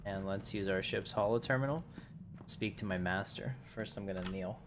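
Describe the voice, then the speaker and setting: A man's voice: lowish voice, moderate pace. A man giving casual live commentary as he streams a video game. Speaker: game livestreamer. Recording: noisy livestream with game audio.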